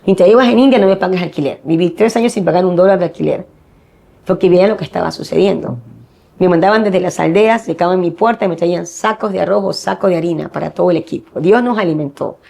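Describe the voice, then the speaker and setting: A woman's voice: on the low side, fast, creaky, dark tone. A middle-aged woman from Ecuador, a missionary guest giving earnest personal testimony and acting out the dialogue she quotes.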